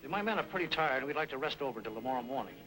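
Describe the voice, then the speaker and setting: A man plays a courteous cavalry lieutenant in a vintage radio western. He talks extremely fast and businesslike, over clear music. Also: medium pitch, expressive, lightly acted, poor audio. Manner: polite, businesslike. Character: brisk, courteous young army lieutenant. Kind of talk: old-time radio western acting.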